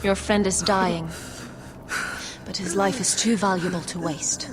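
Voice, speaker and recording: A woman playing a calm, persuasive forest leader. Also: mid-range voice, measured pace, background noise, natural voice. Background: music.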